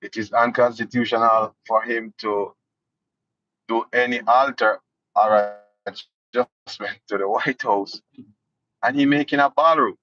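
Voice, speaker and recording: A man's voice: medium pitch, pushed and bright, dry recording. A Jamaican man giving casual political commentary, indignant and sarcastic. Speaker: vlogger. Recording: dull lo-fi dry sound.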